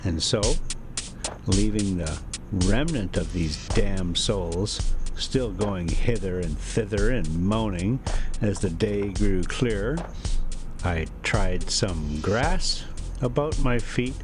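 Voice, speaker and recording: A man's voice: low voice, lively intonation, takes it slowly. A man with an informal, folksy manner, hosting and telling stories, moving between casual chat and reading a classic novel aloud. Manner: informal, folksy, lightly profane. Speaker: host and storyteller. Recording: music behind the voice. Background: music.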